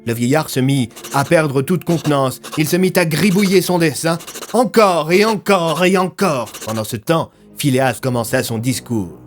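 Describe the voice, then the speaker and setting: A man's voice: a little high, moderate pace. A man narrating a scripted audio fiction in a dramatic storytelling style. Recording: loud music bed. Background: music.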